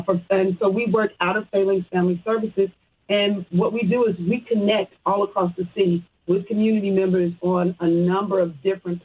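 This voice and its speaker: A woman's voice: mid-range voice, slightly rough. A woman from Chicago with an American accent, answering conversationally as a phone-in guest on a radio interview. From Chicago, United States. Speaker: radio guest, social services program supervisor. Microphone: phone call. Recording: muffled, tinny phone line.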